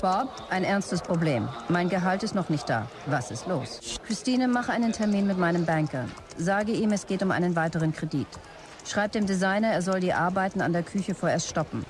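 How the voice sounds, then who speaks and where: An actress playing a brisk, stressed, commanding start-up boss in a German audio drama, dictating messages curtly. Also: female voice, fairly low pitch, expressive, music in the background, natural voice. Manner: curt, businesslike dictation of messages.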